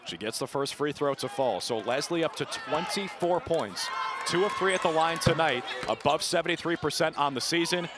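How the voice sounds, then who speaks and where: A man's voice: fairly high pitch, lively intonation, normal speed. A man in his early twenties working as a sportscaster, delivering play-by-play commentary and sports reports. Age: early 20s. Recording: clear background noise from the venue.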